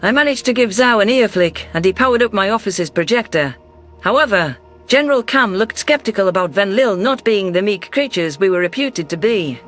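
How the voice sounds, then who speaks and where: Guy narrating sci-fi drama, pretty high voice, bit of music behind. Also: lively intonation, brisk, a bit bright. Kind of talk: dramatic scripted science fiction narration. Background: faint music.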